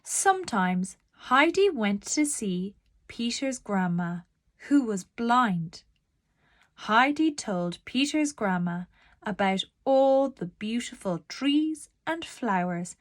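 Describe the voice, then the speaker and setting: A woman narrating a children's storybook aloud in a deliberate, expressive storytelling manner. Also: fairly high pitch, dry recording, clean studio sound.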